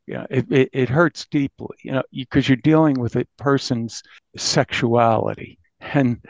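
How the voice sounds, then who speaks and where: A man who works as a reporter, speaking reflectively in an interview with a halting, earnest manner. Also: medium pitch, vocal fry, no echo, muffled recording. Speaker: newspaper reporter being interviewed.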